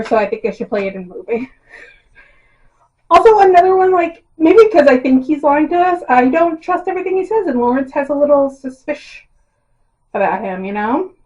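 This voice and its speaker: A woman with a hoarse, slightly high voice talks casually to her camera, rambling through her thoughts with plenty of ums and self-mocking asides. There is no proper mic, so her voice comes through dull and lo-fi from the camera's built-in microphone. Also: a little mellow. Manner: rambling and self-deprecating, with frequent ums and laughs. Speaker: YouTuber casting book adaptations. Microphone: built-in camera mic, no external mic.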